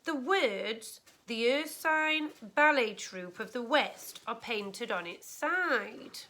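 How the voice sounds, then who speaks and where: Lady teacher reading a kids fantasy book out loud, takes it real slow, voice a bit high, expressive. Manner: clear, deliberate explaining to young listeners. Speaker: primary school teacher reading to a class. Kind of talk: expressive storybook read-aloud for children.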